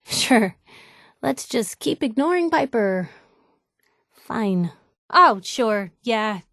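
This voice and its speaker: Young female game companion, feisty reporter, higher voice, sardonic, guarded yet loyal, emphatic, close dry sound. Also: animated, drawn-out words, a bit bright, no echo, heavily acted. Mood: sarcastic. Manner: sardonic, emphatic, hesitant when vulnerable. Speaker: video game companion character. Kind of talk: naturalistic game dialogue acting.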